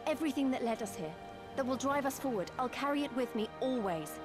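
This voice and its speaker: A woman's voice: high voice, expressive, fast talker, music in the background. A woman playing a spirited, earnest and determined young fighter in a video game.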